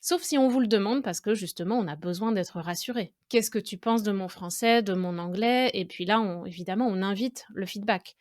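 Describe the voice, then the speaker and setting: A woman's voice: medium pitch, normal speed, hardly any pauses, a little mellow, no room sound, clean studio sound. A French woman hosting an educational podcast, talking to the listener directly in a reflective, conversational way. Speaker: podcast host and French teacher.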